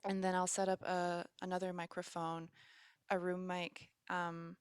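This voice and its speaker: A soft-spoken woman from Canada, a musician chatting casually over a video call, relaxed and rambling with lots of fillers. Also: fairly low pitch, measured pace, clear, resonant tone, dry recording, studio quality. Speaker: musician guest. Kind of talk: casual interview chat.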